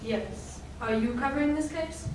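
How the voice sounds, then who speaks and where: A prim, coolly polite radio-play librarian acted by a woman, with a fairly high voice. It comes through heavy echo and clear background noise. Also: measured pace. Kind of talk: old-time radio drama acting. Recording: very echoey hall, distant mic, clear noise.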